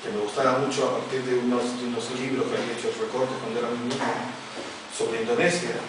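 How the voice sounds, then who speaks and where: A middle-aged man from Peru's Amazon region, an author presenting his book and telling a story in a halting way, with many restarts and fillers. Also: medium pitch, fairly even intonation. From Peru, Amazon region. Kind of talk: informal talk at a book presentation. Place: large echoey arts center hall. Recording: echoey hall from far off with noise.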